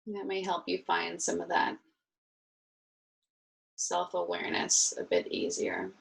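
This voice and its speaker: A woman leading a guided meditation as an instructor, speaking soothingly and informally in gentle, unhurried instructions. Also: lowish voice, sing-song, vocal fry, poor audio.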